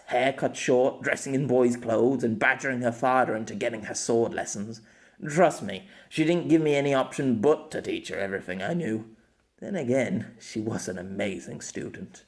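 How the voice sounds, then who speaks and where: A man's voice: mid-range voice, animated, normal speed, lightly acted. A man voicing a cocky, teasing young former knight who speaks with wry self-deprecation in an amateur audiobook. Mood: fond, amused.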